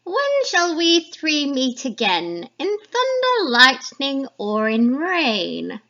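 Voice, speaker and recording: Wicked old witch acted by a woman, loud drawn-out chanting, theatrical Shakespeare incantation, swooping high pitch, slightly dull recording. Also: huge pitch swings, heavily acted. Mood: ominous. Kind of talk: dramatic Shakespeare reading.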